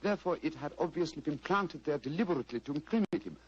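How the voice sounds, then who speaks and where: An actor playing a keen, courteous and resolute detective in a radio drama, with precise, formal speech. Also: male voice, on the high side, animated, very fast, lightly acted. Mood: keen, assured. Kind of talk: 1940s radio drama acting. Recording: muffled, hissy vintage radio recording.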